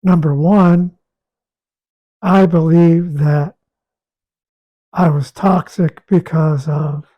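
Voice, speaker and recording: A man with a raspy, hoarse voice talking casually about his health, halting and repeating words as he goes. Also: fairly high pitch, fairly even intonation, highs cut off. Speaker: guest sharing a health update. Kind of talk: casual conversation.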